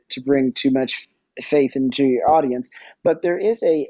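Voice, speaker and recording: A man giving conversational interview answers as a podcast guest, discursive and halting as he thinks aloud. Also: medium pitch, warm tone, breathy edge, no echo, dull audio. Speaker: podcast guest, audio storytelling company executive.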